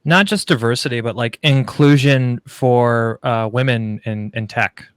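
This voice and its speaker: A man hosting an interview, asking slow, hesitant questions. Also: medium pitch, smooth, dry recording, clean studio sound. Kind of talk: casual interview chat.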